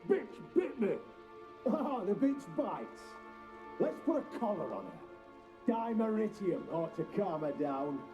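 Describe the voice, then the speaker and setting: An actor playing a sadistic torturer in a video game, gleeful and crude, taunting in a sing-song manner. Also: male voice, high-pitched, sing-song, unhurried, music in the background. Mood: angry, crude.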